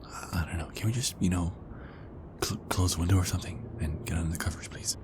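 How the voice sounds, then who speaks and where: A man playing an ardent, nervous werewolf boyfriend in an ASMR roleplay, halting and repeating himself as he pleads. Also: low voice, fairly even intonation, background noise, close to an everyday voice. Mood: tender, earnest.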